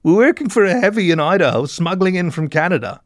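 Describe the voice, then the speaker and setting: A man acting a tearful mole drug runner, high voice, stammering, flustered, anxious, detective storybook character. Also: sing-song, brisk, no room sound, clean studio sound. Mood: distraught, tearful. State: sobbing.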